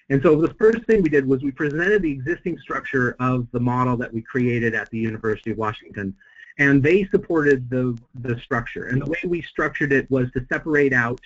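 A man speaking at a middle pitch, slightly hoarse from a cold, presents on a webinar. He talks in a halting way, with frequent fillers and restarts. The audio is a little dull and muffled. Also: fairly bright tone. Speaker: webinar presenter, center president.

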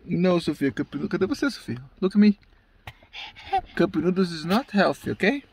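A man's voice: high voice, lively intonation, normal speed, a little mellow, slightly rough. Brazilian man vlogging outdoors. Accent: Brazilian Portuguese. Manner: chatty, mixes in English phrases. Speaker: travel vlogger. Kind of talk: casual travel vlog narration. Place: outdoors by a mountain lake and forest trail.

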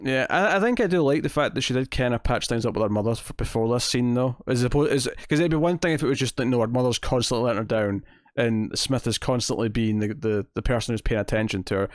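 A man's voice: medium pitch, quick pace, hardly any pauses, no room sound, studio quality. A young Scottish man chatting casually and in a rambling way as he co-hosts a review podcast. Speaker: podcast co-host. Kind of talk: casual chat, TV episode review. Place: indoors at home, online video call.

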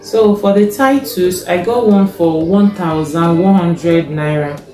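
A young Nigerian woman with a Nigerian accent, casually showing her grocery haul, taking her time, checking a receipt and often pausing with fillers. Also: fairly low pitch, mellow. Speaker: vlogger. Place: home kitchen, indoors. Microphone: fixed camera in front. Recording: echoey kitchen, faint music.

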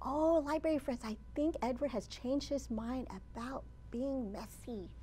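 A woman in her thirties, a children's librarian hosting storytime, reading aloud expressively and deliberately with dramatic emphasis for young children. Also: high voice, dark tone, a little hiss or hum. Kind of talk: animated read-aloud of a picture book for young children. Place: open library children's area, indoors.

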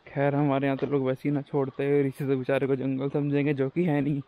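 A man's voice: mid-range voice, monotone, fast talker, dull audio. A young man talking casually to camera while hiking a forest trail, joking in a running commentary to himself. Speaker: travel vlogger.

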